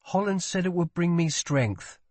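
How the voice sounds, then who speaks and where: Man voicing a petulant young prince, high-pitched. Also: animated, measured pace, a little mellow, no room sound. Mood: vulnerable, ashamed. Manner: scornful, dramatic, demanding. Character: petulant wounded young prince, playful yet guilt-ridden.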